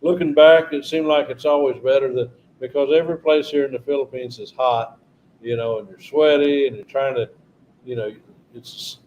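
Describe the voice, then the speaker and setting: A man's voice: medium pitch, fairly even intonation, moderate pace, darkish tone, slight background noise, low-quality recording. A middle-aged man hosting a casual live stream, rambling through memories and chatting with viewers by name. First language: English. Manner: rambling, reminiscing, talking to viewers in chat. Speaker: channel host doing a live stream.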